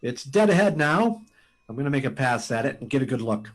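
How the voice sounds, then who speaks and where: A man playing a daring, steady test pilot given to excitement, in radio drama style. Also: medium pitch, brisk, a little hiss or hum, lightly acted. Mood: excited.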